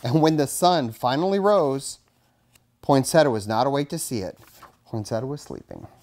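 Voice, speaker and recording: A man's voice: mid-range voice, sing-song, normal speed, a little mellow. A middle-aged man with an American accent, a fire chief reading a children's storybook aloud and talking to kids. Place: indoors in front of a curtain backdrop, studio-like setup.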